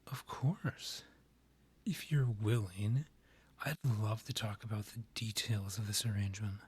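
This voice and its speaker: A man acting a breathy, lovestruck vampire king. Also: fairly low pitch, steady tone, a little mellow. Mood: courtly, persuasive. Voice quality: breathy, half-whispered. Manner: formal, courtly phrasing, slow pleading delivery. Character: lovestruck male vampire king, courtly, yearning, tender suitor. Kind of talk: romantic ASMR audio roleplay.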